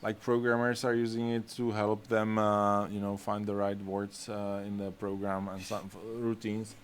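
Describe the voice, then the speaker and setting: A man's voice: on the low side, level tone, moderate pace, slight background noise. A man whose first language is Czech, answering questions in a casual Q&A, hesitant and full of fillers as he searches for words. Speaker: game studio staff answering questions. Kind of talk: casual Q&A talk.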